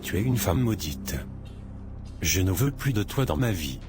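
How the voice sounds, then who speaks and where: A cruel cartoon husband, acted by a man. He orders and insults with cold contempt, over clearly audible music. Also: deep voice, lively intonation, unhurried. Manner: harsh, commanding, insulting. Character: cruel, contemptuous husband throwing out his wife. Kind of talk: animated moral drama acting.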